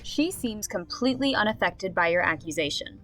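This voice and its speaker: A woman playing an expressive game master, narrating a fantasy story in a scripted radio drama. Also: mid-range voice, moderate pace, faint music behind. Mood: storytelling.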